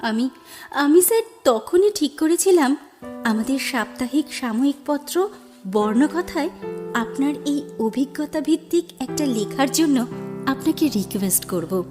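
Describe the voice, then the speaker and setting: An actress playing a young journalist who speaks hesitantly and politely, mixing in English phrases. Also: female voice, high voice, animated, measured pace, over music. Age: young adult. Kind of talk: radio drama acting.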